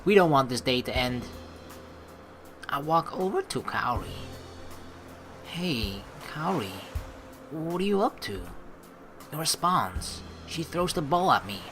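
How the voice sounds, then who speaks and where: A young man hosting a let's play, reading the story aloud and adding expressive, rambling commentary. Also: fairly high pitch, slow pace, long pauses, faint music behind.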